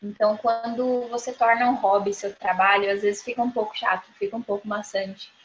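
A woman co-hosting a podcast, rambling in a relaxed way and checking in with the others. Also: mid-range voice, a little fast. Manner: relaxed, rambling, asks "entendeu?". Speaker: podcast co-host. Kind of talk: casual podcast chat. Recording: dull lo-fi mic with faint noise.

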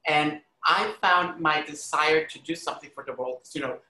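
A man's voice: a little high, normal speed, bright, forceful tone, reverberant, poor audio. A man from Honduras talking earnestly and emphatically in a conversational interview, telling personal stories and often saying "like" and "you know". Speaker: arts critic and educator, podcast guest.

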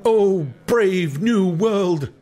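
A man voicing a singing inner voice that declaims lofty verse in a drawn-out way, in an audiobook. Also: sits high, dark tone, voice pushed up. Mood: exalted. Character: mocking, singing voice quoting lofty verse in memory.

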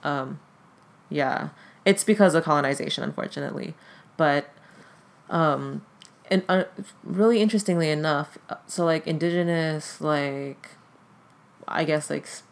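Gravelly-voiced guest, Tagalog native, halting. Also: mid-range voice. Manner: hesitant, heavy use of "like" fillers. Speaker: podcast guest. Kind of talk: casual conversation.

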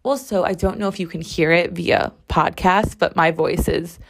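A woman in her twenties hosts her solo podcast in a rambling, emphatic style, swearing freely. Her voice is strained and hoarse, and she is recording from her bathroom at home. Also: lowish voice, moderate pace. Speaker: podcast host. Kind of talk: casual solo podcast chat.

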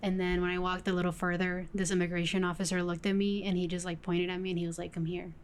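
A young woman co-hosting a casual podcast, telling a story in a lively, casual way. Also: lowish voice, fast. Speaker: podcast co-host.

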